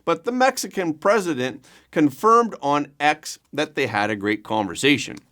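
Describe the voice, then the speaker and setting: A man reading scripted news as an anchor, with a measured, emphatic delivery. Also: a little high, lively intonation, a bit bright.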